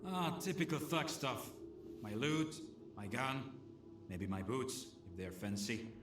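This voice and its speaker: Casual, chatty game scavenger, roomy echo. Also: male voice, medium pitch, lively intonation, slow pace, over music. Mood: wry, friendly. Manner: casual, slangy, hesitant, friendly. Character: chatty scavenger stalker man asking a stranger for help. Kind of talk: video game dialogue acting.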